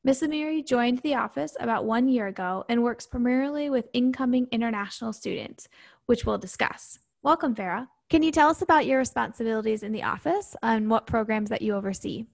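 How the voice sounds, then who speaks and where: A woman's voice: fairly high pitch, animated, fairly quick, creaky. A woman from Michigan hosting a university podcast, reading a prepared intro and then interviewing a guest in an upbeat, earnest way. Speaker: podcast host.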